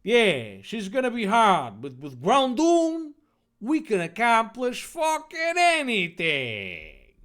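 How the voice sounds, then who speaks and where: A man voicing a brash, cocky, excitable young monster trainer in an over-dramatic story reading. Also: high-pitched, drawn-out words, hardly any pauses, voice pushed up, full character voice.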